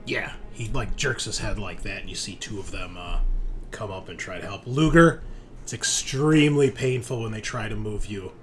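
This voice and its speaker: A man's voice: fairly low pitch, normal speed, fairly bright tone, soft background music. A man narrating a tabletop roleplaying game as game master, informal and improvised, sometimes projecting and sometimes muttering. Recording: faint room noise.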